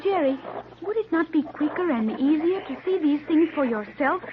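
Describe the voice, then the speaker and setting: A woman's voice: high-pitched, animated, normal speed, phone-quality sound. An actress playing a naive, literal-minded girl in an old-time radio drama, speaking in formal, precise sentences. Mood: puzzled, earnest. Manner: stilted, formal, precise, without contractions. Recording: band-limited, noisy old radio recording.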